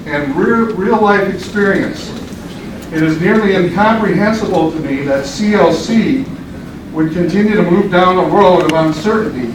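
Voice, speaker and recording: A man reading a prepared statement to a college board in a formal, measured way, recorded from a distance in a large meeting room. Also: high voice. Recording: echoey meeting room, distant mic, background noise.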